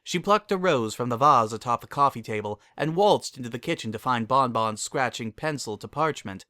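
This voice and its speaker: Animated male story narrator. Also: mid-range voice, normal speed, no room sound, clean studio sound. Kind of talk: scripted narration, dramatic reading of fan fiction.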